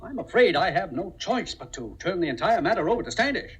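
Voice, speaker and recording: An actor playing a pompous, bossy senior business partner, formal and blustering, in 1950s radio comedy-drama. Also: male voice, sits high, very animated, fast talker, breathy edge, heavily acted. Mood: indignant, commanding. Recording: old 1950 radio broadcast.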